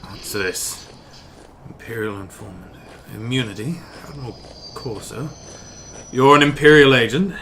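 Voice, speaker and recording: A man's voice: mid-range voice, a little slow, pauses often, background noise, natural voice. A man playing an officious, touchy young Imperial lieutenant in a sci-fi audio drama, clipped and pompous. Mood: chastened.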